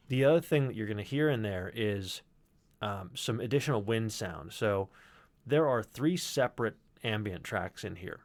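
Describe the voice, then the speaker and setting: A man presenting as a sound designer, talking through his work in a reflective, conversational way. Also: on the low side. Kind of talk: informal explanatory walkthrough on a livestream.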